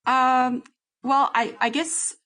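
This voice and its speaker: A young woman with a New Zealand accent, chatting casually as an interview guest, laughing often and filling pauses with ums. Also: high-pitched, fairly even intonation, a bit bright. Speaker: guest, violinist and ensemble founder.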